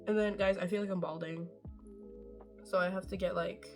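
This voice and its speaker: A woman's voice: on the low side, fairly even intonation, moderate pace, faint music behind. Chatty young woman doing a makeup tutorial. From Norway. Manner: chatty, rambling, self-deprecating, swears casually. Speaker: beauty vlogger. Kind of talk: casual makeup tutorial chat.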